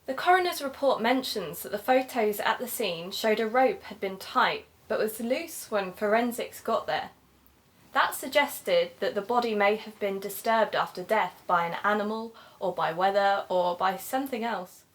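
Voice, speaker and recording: A woman's voice: medium pitch, lively intonation, moderate pace, close to an everyday voice. Woman playing a methodical amateur investigator. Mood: thoughtful. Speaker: podcast co-host in an audio drama. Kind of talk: conversational audio drama acting.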